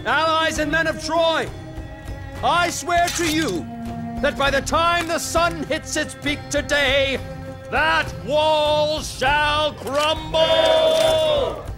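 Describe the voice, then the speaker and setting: A man's voice: very high-pitched, steady tone, extremely slow, a loud crowd, exaggerated voice. An actor playing a proud Trojan warrior champion, a man declaiming in dramatic game style. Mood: rousing. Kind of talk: dramatic video game acting. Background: music.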